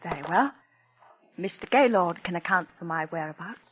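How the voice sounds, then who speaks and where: An actress playing a poised, genteel, scheming young stage actress in a 1940s radio drama, with theatrical composure. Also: female voice, on the low side, expressive, moderate pace, muffled recording, natural voice. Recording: vintage 1940s radio recording.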